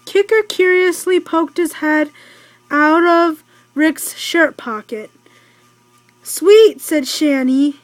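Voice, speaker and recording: A woman's voice: high voice, slow pace, dry recording, faint music behind. A young woman reading a children's storybook aloud, stumbling and repeating words as she goes. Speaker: amateur storybook reader.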